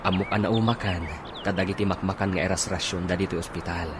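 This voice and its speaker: Guy acting young boyfriend, low voice, hesitant but caring, radio drama, birdsong behind. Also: steady tone, quick pace, heavy background noise, lightly acted. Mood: soothing. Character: attentive young boyfriend of an older woman, hesitant.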